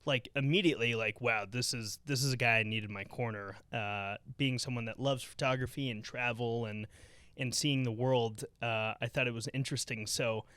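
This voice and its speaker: A young man hosts a casual podcast from home, chatting loosely and circling back over his words. His voice is smooth and clear. The sound is dry and close. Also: medium pitch, steady tone, normal speed, bright, forceful tone, no echo. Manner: chatty, rambling with many fillers. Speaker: podcast host. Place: home living room.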